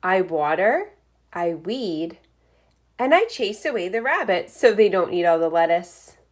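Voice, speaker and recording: Slow, very animated woman reading to children. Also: fairly low pitch. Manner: expressive, deliberate storytelling with short phrases and asides. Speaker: teacher reading aloud to first graders. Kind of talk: picture-book read-aloud for young children.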